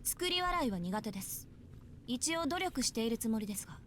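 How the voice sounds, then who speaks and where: A woman's voice: a little high, big pitch swings, racing, a bit bright, far from the mic, slight background noise. An actress playing a blunt, proud, irritable teenage schoolgirl in a Japanese visual novel. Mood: blunt, dry.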